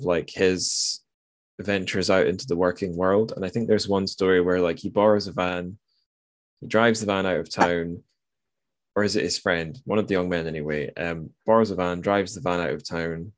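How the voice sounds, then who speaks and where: Very deep-voiced man, musing aloud. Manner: rambling and musing, thinks aloud in circling sentences. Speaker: podcast host. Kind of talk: casual literary discussion.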